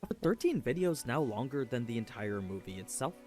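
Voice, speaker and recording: Animated male narrator over music. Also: medium pitch, a little fast. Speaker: lore video narrator. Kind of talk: scripted explanatory narration. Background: background music.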